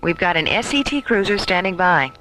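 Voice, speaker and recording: Female space-station doctor for sci-fi radio drama: brisk, concerned, expressive intonation over music. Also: lowish voice. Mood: concerned, curious.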